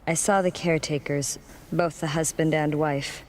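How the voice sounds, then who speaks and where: A woman's voice: sits low, fairly even intonation, normal speed, natural voice, low-quality recording. An actress playing a cool, serious young woman investigator in a video game cutscene, with music behind her. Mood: grave.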